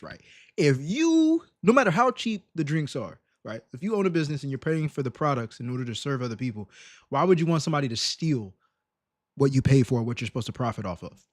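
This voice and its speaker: A man hosting a casual reaction livestream, slangy and emphatic with rhetorical questions, in a slightly raspy voice. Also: a little high, no room sound, studio quality. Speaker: live stream host reacting to videos.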